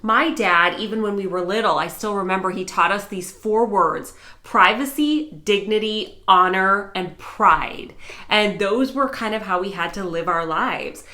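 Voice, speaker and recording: A woman's voice: medium pitch, a little slow. An American woman in middle age, talking earnestly and enthusiastically to camera in a personal vlog. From United States. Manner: earnest and enthusiastic, warm storytelling. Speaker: vlogger and news anchor.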